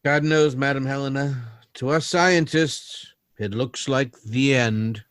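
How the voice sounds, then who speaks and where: A man playing an anguished scientist in a 1930s-style radio drama, speaking in a grave, halting, theatrical way. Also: mid-range voice, steady tone, noisy background, lightly acted. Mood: grave, foreboding. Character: anguished male scientist confessing to tampering with robots.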